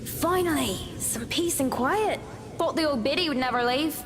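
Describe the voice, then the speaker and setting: A woman's voice: sits high, animated, normal speed, noisy background. An actress playing a bold, taunting schoolgirl heard as a phantom voice in a visual novel game. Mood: quiet, taunting, beckoning. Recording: long reverb on the voice. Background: game music.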